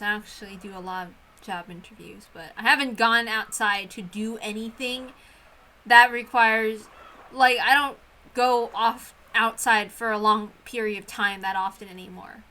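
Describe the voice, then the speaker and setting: A woman's voice: on the high side, fairly even intonation, normal speed, sharp tone. A woman streamer chatting casually, rambling in an apologetic way and often interrupting herself. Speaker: streamer who reads visual novels aloud. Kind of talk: casual livestream chat.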